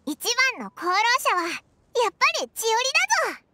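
A woman voicing a tiny, naive, outspoken fairy companion in Japanese game acting, squeaky and childlike with boyish wording. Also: very animated, brisk. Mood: concerned. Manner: boyish casual wording, exclamatory. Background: background music.

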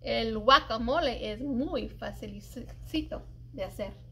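A middle-aged woman with a notably high voice reads a picture book for children. She takes her time, stretching key words in an expressive storytelling manner. Faint room noise sits behind her. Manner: animated, deliberate storytelling with drawn-out emphasized words. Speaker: library storyteller. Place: indoors in a library children's room. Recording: light background room noise.

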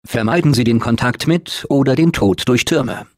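An actor voicing a polite, unflappable automated announcer in a video game, with formal, measured, deadpan official delivery and a blandly upbeat temper. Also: male voice, medium pitch, no echo, studio quality, close to an everyday voice. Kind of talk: scripted game announcements, German dub.

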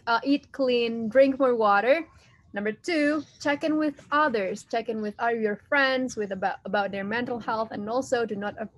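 A young woman on a video call, listing health tips in a brisk, encouraging way. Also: a little high, no echo. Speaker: team member.

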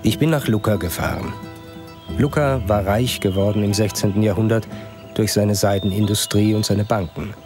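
A man giving calm, measured scripted narration for a documentary voice-over. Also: fairly low pitch. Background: music under the narration.

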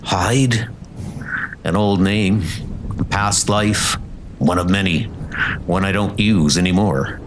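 Performer as terse ancient assassin, slow and brooding. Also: low voice, background noise. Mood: exhausted. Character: weary, ancient male assassin narrating his thoughts. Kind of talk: comic book inner monologue.

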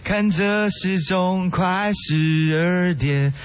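Casting: song-prone, theatrical young student for radio drama, high voice, slow delivery. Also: male voice. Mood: melodramatic. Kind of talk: idol radio drama acting. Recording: dull lo-fi audio, faint music.

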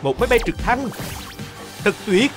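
A man with a high voice narrates a children's cartoon over prominent music. His delivery swings up and down, full of exclamations and questions. He addresses the characters directly and cheers them on. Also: big pitch swings, a little slow. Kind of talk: animated narration for young children, talking to the characters.

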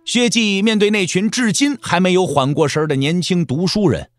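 A man's voice: high-pitched, normal speed, no echo, soft background music. A man narrating an audio drama as a dramatic storyteller, with emphatic, theatrical phrasing.

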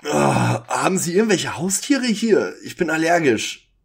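A man's voice: fairly high pitch, big pitch swings, unhurried, creaky, husky, full character voice. A man playing a skeptical, blunt schoolboy detective in a parody detective audio play. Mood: flustered.

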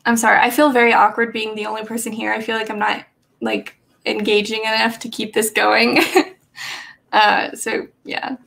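Young woman, higher voice, vocal fry, casual rambling chat, many fillers, small bedroom webcam. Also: monotone, moderate pace, a bit bright. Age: young adult. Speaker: livestream host. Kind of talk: casual chat with live chat readers. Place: small bedroom with bookshelf, indoors.

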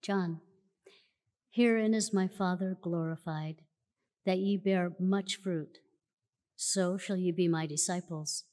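A woman reading scripture aloud at a church lectern in a measured, solemn way, recorded in a large church auditorium. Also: on the low side, steady tone, darkish tone. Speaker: church reader. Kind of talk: slow formal scripture reading. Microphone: lectern mic from a far camera. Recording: long church hall reverb.

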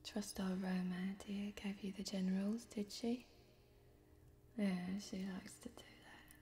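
Young woman doing a friendly tavern barmaid, asmr roleplay, slow soft whispery talk, mellow, close mic. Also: mid-range voice, fairly even intonation, lightly acted. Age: young adult. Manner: soft-spoken, whispery, unhurried. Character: friendly fantasy tavern barmaid, chatty and homely. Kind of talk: ASMR fantasy role-play. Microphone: close microphone.

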